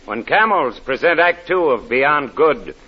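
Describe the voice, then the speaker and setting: A man announcing a program in dramatic, deliberate, scripted 1940s radio narration with a theatrical delivery. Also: fairly high pitch, lively intonation, heavy background noise. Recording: muffled old radio, faint music, crowd.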